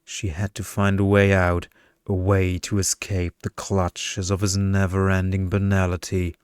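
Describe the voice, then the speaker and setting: A man reading a story in ASMR bedtime style, with a measured, deadpan delivery. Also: deep voice, smooth, no echo, clean studio sound.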